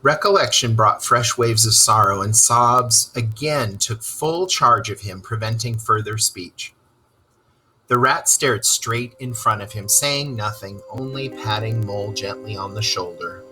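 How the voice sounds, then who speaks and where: A man reading a storybook aloud as narrator, in an expressive storytelling style. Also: lowish voice, a little slow, soft background music, poor audio.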